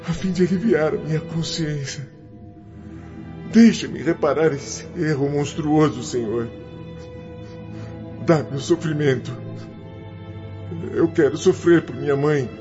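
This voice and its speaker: A Brazilian actor playing a remorseful condemned spirit in a radio drama, pleading in anguish with God. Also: male voice, on the high side, natural voice. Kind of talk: radio drama acting in Portuguese. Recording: dull lo-fi audio under loud music.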